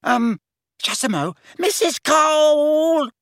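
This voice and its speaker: A bewildered young serving girl from a storybook, acted by a male reader. The voice is lifted very high, hesitant and informal, and swings around in pitch. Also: big pitch swings, measured pace, a bit bright, slightly rough, no echo, studio quality, heavily acted.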